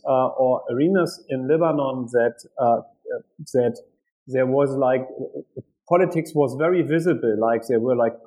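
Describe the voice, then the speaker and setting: A man's voice: fairly high pitch, a little mellow, low-quality recording. Man, author in an interview. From Germany. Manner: measured, with many fillers. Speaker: author guest. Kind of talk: academic interview.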